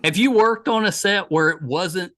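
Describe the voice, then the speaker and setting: A man's voice: high-pitched, moderate pace, sharp tone. A man hosting a podcast interview, chatty and enthusiastic, often restarting his sentences. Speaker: podcast host. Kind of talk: casual interview chat.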